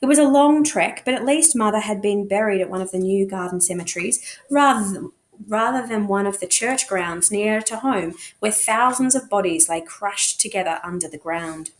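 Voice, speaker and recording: A woman's voice: medium pitch, moderate pace. A woman narrating a novel expressively, as a teacher reading aloud to students.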